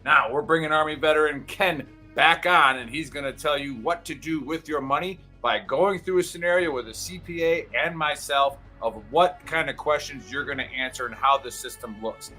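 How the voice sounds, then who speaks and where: Male promo voice, upbeat and salesy, slightly high and bright, faint music underneath. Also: normal speed. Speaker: show promoter. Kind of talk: promotional announcement.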